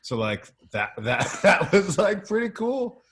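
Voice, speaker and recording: Bright-voiced man, loose and halting. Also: high voice, big pitch swings. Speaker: actor on a cast reunion panel. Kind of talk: casual chat.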